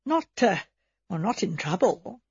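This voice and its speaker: A woman voicing an elderly lady who speaks in a measured, dry way. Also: medium pitch, big pitch swings, a little mellow, breathy edge, dry recording, highs cut off, full character voice.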